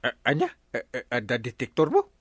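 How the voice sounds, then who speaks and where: A man's voice: medium pitch, wildly swooping pitch, a little fast, slightly rough. A man playing a flustered, blustering village captain in an Ilocano radio comedy, with a stammering, hesitant delivery and an Ilocano accent. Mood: agitated. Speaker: comedy drama actor. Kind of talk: Philippine radio comedy drama acting.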